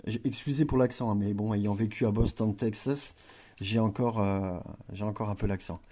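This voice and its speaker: Guy doing a chill gaming tutorial, lowish mellow voice, lots of euhs and corrections. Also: steady tone, moderate pace. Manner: chatty and rambling, with many fillers and self-corrections. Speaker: gaming video creator. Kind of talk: casual gameplay tutorial.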